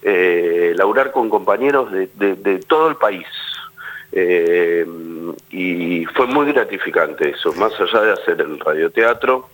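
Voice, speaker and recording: A man's voice: sits low, lively intonation, measured pace, a bit bright. A man with an Argentine accent talking casually as an actor being interviewed, often repeating words as he forms his thoughts. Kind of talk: casual radio interview talk.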